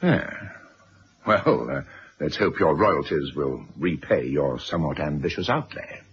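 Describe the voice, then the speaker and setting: A man's voice: rumbling low, expressive, measured pace, husky, heavily acted. A middle-aged man playing a brusque, self-made press magnate and stern father in a radio drama, curt and pompous. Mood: dismissive, proud. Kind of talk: 1970s radio drama acting. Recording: dull, noisy vintage radio recording.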